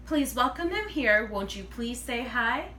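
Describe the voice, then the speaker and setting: A woman reading a storybook voices a shrill, brisk schoolteacher who projects to her class. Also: big pitch swings, sharp tone, full character voice. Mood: brisk, welcoming. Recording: roomy, distant mic, faint noise.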